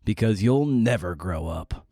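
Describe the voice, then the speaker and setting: Low-voiced, wry podcast host man. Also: lively intonation, unhurried, warm tone, no room sound, clean studio sound. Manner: wry, self-deprecating asides. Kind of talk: casual, jokey podcast intro monologue.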